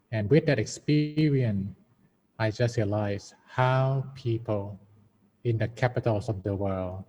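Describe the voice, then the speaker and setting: A Thai-accented man speaks slowly and in a measured way, with a mellow, low voice. He gives a formal talk as a guest speaker. His video-call audio is dull and muffled, with a faint hiss underneath. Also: long reverb tail. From Thailand. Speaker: Buddhist monk, guest speaker. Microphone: computer mic on a video call.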